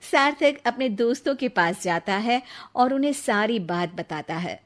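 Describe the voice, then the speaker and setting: A woman's voice: fairly high pitch, unhurried. Woman narrating a cartoon story. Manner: even, storytelling.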